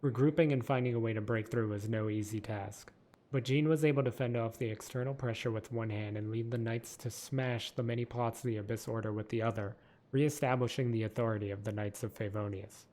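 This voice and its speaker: A man reading a game character's story aloud with a steady, even delivery. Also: mid-range voice, fairly quick. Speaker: lore video narrator.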